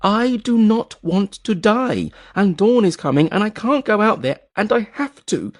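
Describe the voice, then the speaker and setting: A man voicing a frightened, bitter adult woman in an audiobook, pleading and scornful in a voice pushed high. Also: very animated, measured pace. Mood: desperate. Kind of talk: audiobook character voice.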